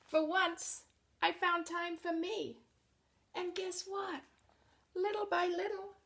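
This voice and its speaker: A woman reading a picture book aloud to children in an expressive read-aloud manner, dropping to soft, chatty asides about the pictures. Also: extremely high pitch, creaky, slight background noise. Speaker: storybook reader.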